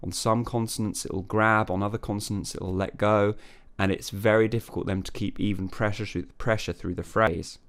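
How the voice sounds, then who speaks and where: A male voice coach with a low, smooth, resonant speaking voice. He explains singing technique loosely, thinking aloud with lots of ums and restarts. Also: moderate pace. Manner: relaxed, thinking aloud with many fillers and restarts. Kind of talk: singing lesson with sung falsetto demonstrations.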